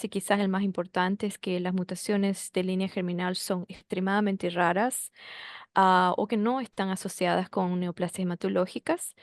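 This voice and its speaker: Woman physician lecturer, mid pitch, deliberate with "am" fillers, dry close recording. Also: dry recording. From Bolivia. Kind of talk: medical lecture.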